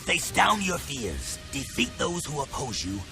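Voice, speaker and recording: A man's voice: mid-range voice, wildly swooping pitch, measured pace, gravelly, heavily acted. A man playing a stern, wise, authoritative desert ruler in a video game, speaking formally. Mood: solemn. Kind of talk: animated video game voice acting. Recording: loud music over dialogue.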